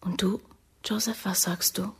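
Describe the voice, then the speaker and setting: A woman's voice: medium pitch, fairly even intonation, a little slow, natural voice. An actress playing a defiant, theatrical young woman recruit in a radio drama, impulsive and vulnerable. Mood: subdued, searching. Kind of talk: German radio drama acting.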